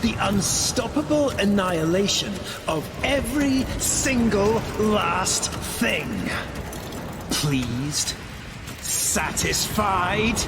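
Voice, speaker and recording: An actor playing a cold, aggrieved, megalomaniac villain lord in a video game cutscene, speaking in a pompous, bitter, theatrical way. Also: male voice, sits high, very noisy, full character voice. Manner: pompous, bitter, slow and deliberate. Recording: loud music under the voice.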